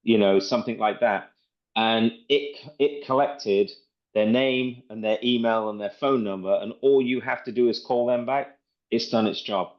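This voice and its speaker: A man's voice: lowish voice, moderate pace, muffled recording. A man giving a casual, rambling sales presentation, explaining things in a repetitive way. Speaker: presenter demonstrating software.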